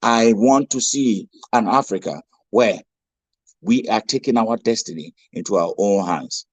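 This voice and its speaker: A man's voice: on the low side, a little slow, slightly rough, no room sound. Impassioned Ghanaian man giving a speech. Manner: rousing, rhetorical questions and repetition. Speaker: guest speaker.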